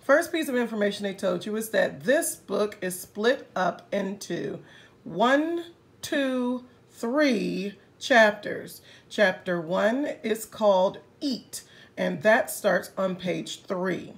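Female read-aloud teacher for young kids, very slow and animated, chatting to listeners between pages. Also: mid-range voice, gravelly. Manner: expressive, pauses to address young listeners. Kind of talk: read-aloud of a picture book with commentary.

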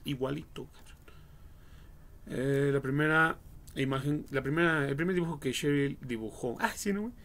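Gravelly, higher-pitched young Mexican man streaming. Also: moderate pace, fairly bright tone. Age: young adult. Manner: slangy, foul-mouthed, jokey. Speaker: livestream gamer. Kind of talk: casual game livestream commentary.

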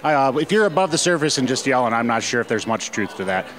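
A man's voice: medium pitch, sing-song, quick pace, a bit bright, some chatter behind. A man in his forties with a bearded look answering questions in a lobby, easygoing and matter-of-fact. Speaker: interviewee. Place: theater lobby, indoors. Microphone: handheld mic held by interviewer.

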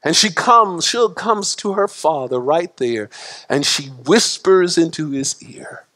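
High-voiced man preaching with passion. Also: lively intonation, takes it slowly. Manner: impassioned preaching with repetition and wide swings in pitch and loudness; gestures broadly while preaching at a pulpit. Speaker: pastor. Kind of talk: sermon. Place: church sanctuary.

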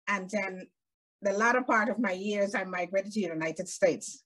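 A woman's voice: medium pitch, moderate pace, a bit bright, low-quality recording. A middle-aged woman from Guyana with a Guyanese accent, a jovial podcast guest chatting on a video call from a room at home. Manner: jovial, laughs often. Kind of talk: casual interview chat.

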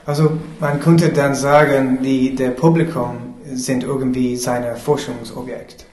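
A man hosting a radio interview in halting German, with many fillers and slips. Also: mid-range voice, steady tone, a little mellow, rough recording. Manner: halting non-native German with fillers and English asides.

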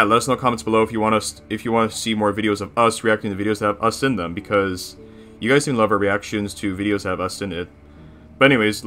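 Man playing a cartoon animatronic bear boss, low forceful voice, crude, bragging, reaction commentary. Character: bossy crude male animatronic bear, self-satisfied boss.

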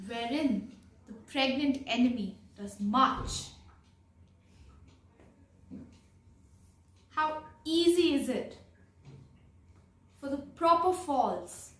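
A young woman acting a bewildered, lovestruck heroine disguised as a man in a Shakespearean monologue, theatrical, with long pauses. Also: a little high, fairly bright tone. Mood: rueful pity. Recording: echoey room, distant microphone.

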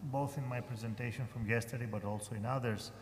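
A man's voice: medium pitch, fairly even intonation, quick pace. A man speaking as a professor on a conference panel, hesitant and full of fillers and restarts. His voice rings out with the long reverb of a large hall, with a faint hum of room noise underneath.

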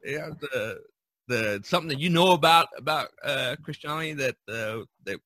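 Bright-voiced man hosting a chatty podcast. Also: mid-range voice, lively intonation, normal speed, no echo. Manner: rambling, chatty. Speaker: podcast host. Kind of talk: casual chat among artists.

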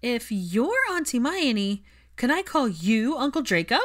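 A woman voicing an eager, excitable little boy in a story reading, full of exclamations. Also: on the high side, a little slow, pitched above the performer's own voice. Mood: curious.

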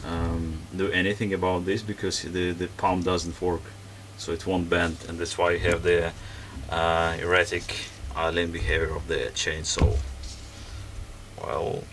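Low gravelly man talking while tinkering. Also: level tone, a little slow, a bit bright. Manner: hesitant, many ums and restarts while working. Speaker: repair video host. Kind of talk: casual hands-on repair commentary. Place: workbench, indoors. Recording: distant mic with background noise.

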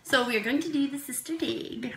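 A woman in her early twenties chatting playfully with her sister in a vlog, reading out questions and hurrying things along with quick asides. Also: high voice, creaky. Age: early 20s. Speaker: co-host sister. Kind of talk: casual chat. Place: indoor room, sitting side by side. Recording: echoey room with background noise.

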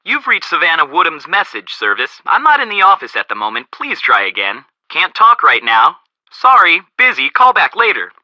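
Brisk male office assistant, very bright forceful voice, lively intonation, clipped, businesslike, filtered phone, video game. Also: on the high side, no echo, heavily acted. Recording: muffled, band-limited phone line.

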